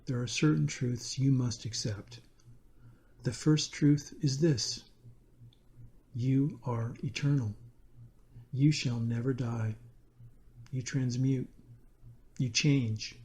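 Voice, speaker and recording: Mellow-voiced man leading a guided meditation. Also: mid-range voice. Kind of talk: guided meditation, scripted narration.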